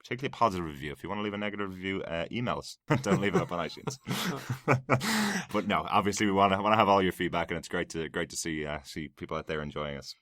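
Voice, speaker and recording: A man hosts a casual podcast in a very low, deep voice. He is chatty, laughs easily and restarts his sentences often. The sound is dry and close to the mic. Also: expressive, fast talker, fairly bright tone, no room sound. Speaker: podcast host.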